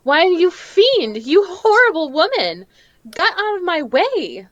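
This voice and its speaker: A woman's voice: extremely high pitch, sing-song, slow, a bit bright, no echo, muffled recording, full character voice. A woman playing a plucky heroine sweetheart in melodramatic 1940s radio serial style. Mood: indignant, frightened. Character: plucky heroine sweetheart Miss Trueheart, indignant, frightened.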